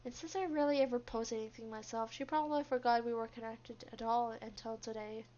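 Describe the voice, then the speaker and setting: A woman voicing a reserved, guarded young female office worker, narrating a visual novel in a subdued, measured way. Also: on the high side, steady tone, mellow, natural voice. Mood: reflective, guarded. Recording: dull, lo-fi microphone.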